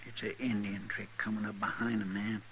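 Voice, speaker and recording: An actor playing a loyal, excitable frontier sidekick in a 1950s radio western, folksy, with a rural American accent. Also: male voice, on the low side, brisk, lightly acted. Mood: subdued, grim. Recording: noisy old radio recording.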